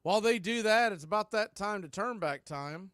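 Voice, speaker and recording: A man's voice: sits high, expressive, moderate pace, a bit bright, smooth, no room sound, studio quality. A man giving live play-by-play commentary on a baseball game as the radio announcer.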